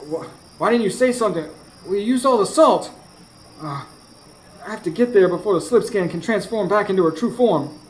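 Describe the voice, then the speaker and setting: An actor playing an anxious, determined husband who narrates a ghost hunt in a folksy, urgent way in a live radio drama. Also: male voice, high voice, lively intonation, normal speed, low-quality recording. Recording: live stage recording, clear background noise.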